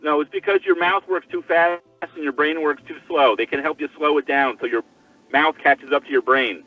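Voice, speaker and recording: A man's voice: fairly high pitch, fairly even intonation, normal speed, no echo. An older man chatting casually and giving advice in a blunt, coaxing manner. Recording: tinny phone-like line, distant, music behind.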